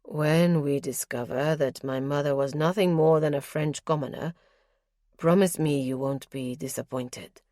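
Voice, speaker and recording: A woman's voice: low-pitched, a little slow, dark tone, no echo, clean studio sound, voice pushed down. A woman voicing a reserved young nobleman who speaks in a clipped, formal, guarded way. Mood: grave. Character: grave reserved nobleman, young adult man.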